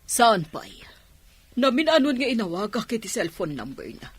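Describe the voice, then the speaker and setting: An actress playing an anxious, plaintive mother with an Ilocano accent in a radio drama, in a husky voice. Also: on the high side, very animated, normal speed, soft background music. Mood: worried. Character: worried mother answering her angry husband.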